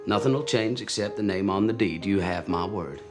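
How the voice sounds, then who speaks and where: A man's voice: lowish voice, a little fast, close to an everyday voice. An actor playing a bold, charming young man who narrates his own tall tale with wry earnestness. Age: young adult. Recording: film soundtrack with music.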